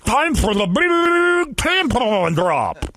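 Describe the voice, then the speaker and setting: A goofy cartoon mascot squeals out announcements in a very high, stretched-out voice, as a man puts it on for a radio bit. The tone is bright and piercing, right up on a dry microphone. It plays like a silly costumed character hyping a crowd. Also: sing-song, extremely slow, no room sound, full character voice. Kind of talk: comic bit on morning radio.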